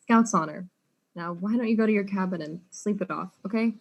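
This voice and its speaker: A woman's voice: mid-range voice, brisk, vocal fry. Woman acting a female detective, mid-range voice, wry, weary, conversational, audio drama. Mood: reassuring, wry. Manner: casual, wry.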